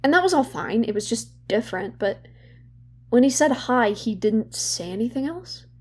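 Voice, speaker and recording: A young woman playing a guilt-ridden, wry and bitter therapy patient in an audio drama, speaking candidly and haltingly. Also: fairly high pitch, expressive, husky. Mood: wry, sardonic. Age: young adult. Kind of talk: naturalistic audio drama dialogue.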